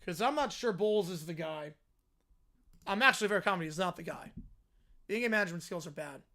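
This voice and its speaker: Hoarse, high-voiced male sports streamer. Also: lively intonation, quick pace, pushed and bright. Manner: chatty, reads and answers viewer comments. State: hoarse, voice worn out. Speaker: livestream host. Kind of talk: casual live sports reaction chat.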